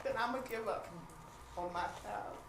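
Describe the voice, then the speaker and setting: A woman's voice: medium pitch, moderate pace, gravelly, close to an everyday voice. A woman on stage playing a weary, sardonic woman struggling with infertility, speaking to the audience in a live play. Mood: weary, anguished. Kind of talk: live stage play monologue and comic banter. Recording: echoey theatre from far off, noisy audience.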